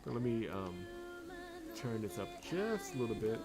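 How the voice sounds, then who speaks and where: A man's voice: medium pitch, sing-song, moderate pace, over music. A man giving casual commentary as host of a visual novel playthrough. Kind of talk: casual game commentary with character read-alouds.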